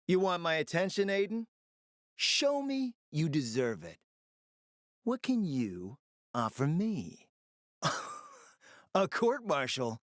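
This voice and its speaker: A man's voice: medium pitch, a bit bright, no echo, studio quality. An actor playing a smug, flirtatious, decadent gourmand fixer in a video game, speaking in a pompous, theatrical, condescending way and savoring his words. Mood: haughty, disdainful. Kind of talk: video game character acting.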